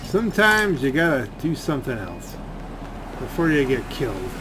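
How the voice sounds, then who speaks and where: A man's voice: mid-range voice, big pitch swings, normal speed. A man giving casual, rambling gameplay commentary as he thinks aloud while playing. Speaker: gaming video host. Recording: loud game gunfire and vehicle noise. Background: video game gunfire and vehicle sounds.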